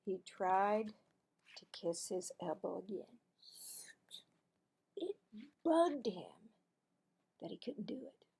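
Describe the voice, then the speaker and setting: A woman narrating a children's storybook aloud in an expressive, deliberate manner. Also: mid-range voice, creaky, husky. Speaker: teacher-style read-aloud narrator.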